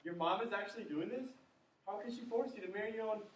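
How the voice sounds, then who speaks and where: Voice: mid-range voice, fast talker, lightly acted. A young performer acting a teenage boyfriend in a stage play, tender and reassuring. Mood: concerned. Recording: echoey hall from far off.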